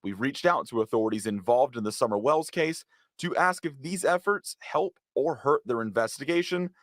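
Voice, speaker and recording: A man's voice: medium pitch, lively intonation, normal speed, fairly bright tone, dry recording. A man reading a scripted television news report in a reporter's delivery.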